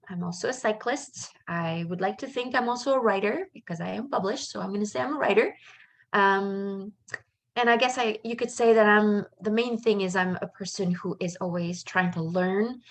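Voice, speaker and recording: A woman's voice: mid-range voice, moderate pace. A middle-aged woman who was born in Canada and raised in France, a life coach giving a casual live webinar in a chatty, upbeat way with lots of fillers and asides.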